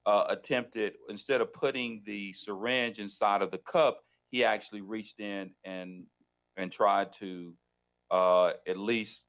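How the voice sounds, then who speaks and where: Low-voiced attorney host, hesitant. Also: male voice, fairly even intonation, measured pace, fairly bright tone. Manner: hesitant, many restarts and fillers, leading questions. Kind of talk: legal talk show interview.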